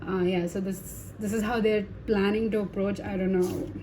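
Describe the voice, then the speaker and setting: A woman's voice: mid-range voice, level tone, moderate pace, warm tone, slight background noise, low-quality recording. A woman giving a casual tarot reading to viewers, talking in a chatty, reassuring way with many fillers and tag questions. Her first language is Hindi. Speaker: tarot reader.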